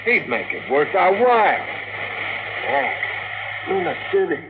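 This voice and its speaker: An actor playing a cynical, needling rocket engineer sidekick with slangy, sarcastic talk in a vintage radio drama. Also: male voice, sits high, big pitch swings, a little slow. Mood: amused, cynical. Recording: noisy, dull vintage radio recording.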